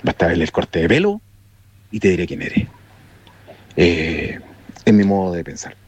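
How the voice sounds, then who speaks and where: Husky low-voiced Chilean man. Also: sing-song, normal speed. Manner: colloquial, emphatic, repetitive. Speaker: audience contributor giving an opinion to a show. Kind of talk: informal opinion monologue.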